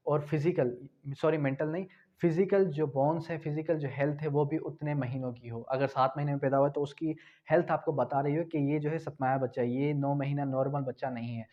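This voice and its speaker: A man teaching law for an exam, asking rhetorical questions and repeating key points. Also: fairly high pitch. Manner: explains with rhetorical questions and repetition. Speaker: law tutor. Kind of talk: exam-prep law lecture. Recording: dull lo-fi recording.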